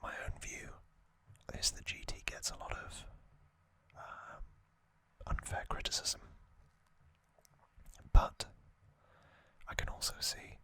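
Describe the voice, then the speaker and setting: ASMR narrator, sex open: sustained whisper, very unhurried, halting short phrases, explanatory recap delivery. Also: bright, forceful tone. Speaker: host of an ASMR channel.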